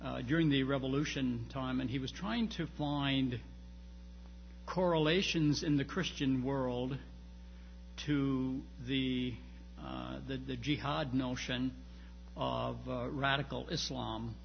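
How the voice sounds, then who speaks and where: Male academic lecturer, slightly gravelly, emphatic but halting delivery, speaking in a reverberant hall with some background noise. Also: mid-range voice, highs cut off. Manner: halting, searching phrasing with repeated starts and emphatic stresses. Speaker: lecturer on church history and theology. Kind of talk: informal academic lecture with slides.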